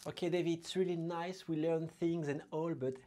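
A man's voice: on the high side, level tone, normal speed, warm tone, close to an everyday voice. Man playing a sassy, unimpressed blonde woman.

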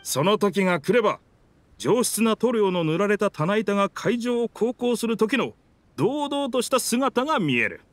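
A man voicing a proud, boastful talking pirate ship in a Japanese game dub, with theatrical, rough masculine speech. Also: high-pitched, big pitch swings, normal speed, no echo. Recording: music under the dialogue.

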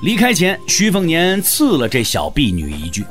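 Very animated male story narrator. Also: fairly high pitch, moderate pace, faint music behind. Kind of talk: scripted dramatic storytelling narration.

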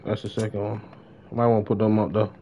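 A man's voice: lowish voice, flat delivery, fast, dark tone, slight background noise. A young adult man chatting casually in street slang as he streams live gameplay. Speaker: game streamer.

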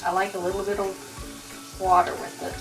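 A woman's voice: medium pitch, flat delivery, fairly quick, low-quality recording. A middle-aged woman in a home kitchen, casually explaining step by step how she cooks a dish. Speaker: home cook teaching a recipe. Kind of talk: casual cooking demonstration. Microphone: camera mic. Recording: music playing under voices.

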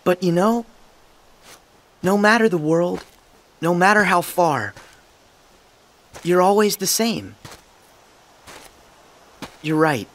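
Eager, lively teenage boy hero. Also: sits high, takes it slowly, pauses often, full character voice. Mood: earnest, upbeat. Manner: earnest, eager, boyish. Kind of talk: English video game voice acting.

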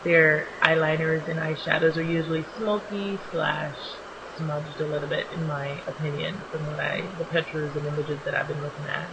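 Slightly raspy young woman narrating makeup. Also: deep voice, normal speed, few pauses, noisy background. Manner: relaxed, chatty, with many ums. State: just woken up. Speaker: beauty vlogger. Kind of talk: voice-over narration of a makeup tutorial. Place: small home bathroom.

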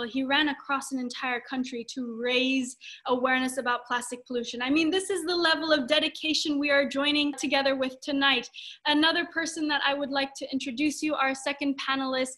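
A young woman hosting a panel over a video call, effusive and expressive as she introduces guests. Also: high voice. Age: young adult. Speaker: host of a panel talk. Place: dim room at home, indoors.

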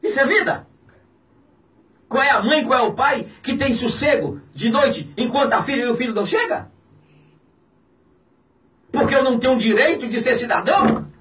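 Indignant Brazilian man in his fifties, high and wildly swinging voice, a little distant from the mic, radio studio. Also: lively intonation, moderate pace, pauses often. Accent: Brazilian Portuguese. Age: 50s. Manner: indignant, preaching, alternately shouting and dropping low. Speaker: pastor. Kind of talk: impassioned radio commentary. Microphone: studio mic on a boom, filmed from a distance.

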